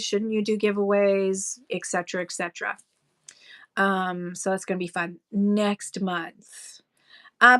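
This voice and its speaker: A woman's voice: on the high side, fairly bright tone. A Canadian woman hosting a seller livestream, chatting casually and reading viewer comments aloud with frequent ums. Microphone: phone.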